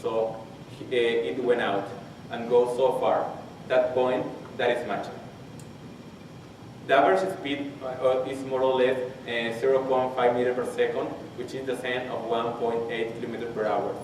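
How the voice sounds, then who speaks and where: A man in his twenties from Peru with a Spanish accent, his first language, giving a technical conference talk and haltingly restarting phrases as he searches for English words. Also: medium pitch, level tone, a little mellow. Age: 20s. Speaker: conference presenter. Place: large conference hall. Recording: very echoey hall, distant mic, faint hiss.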